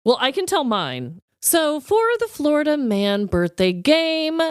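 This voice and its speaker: A woman's voice: sits high, big pitch swings, measured pace, no echo, clean studio sound. A woman co-hosting a comedy podcast, chatting casually in a playful, slangy, giggly manner. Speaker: podcast co-host.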